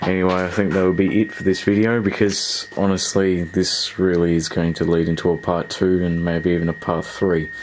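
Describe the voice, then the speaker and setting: Low-voiced man over noisy hum. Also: little pitch movement, normal speed, few pauses. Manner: hesitant, thinking aloud. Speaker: host of a radio repair video. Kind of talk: casual hands-on repair vlog. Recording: clear background noise with electrical hum.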